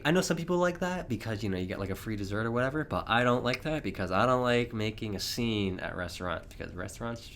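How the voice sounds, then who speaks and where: A slightly hoarse young man hosting a casual solo podcast, rambling chattily with frequent chuckles. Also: on the low side, a bit bright, slight background noise. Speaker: podcast host.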